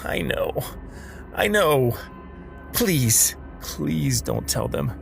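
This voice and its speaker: A man playing a frightened, guilt-ridden student in an audio drama, pleading in a halting way. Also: mid-range voice, expressive, gravelly, soft background music, exaggerated voice. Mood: panicked, pleading.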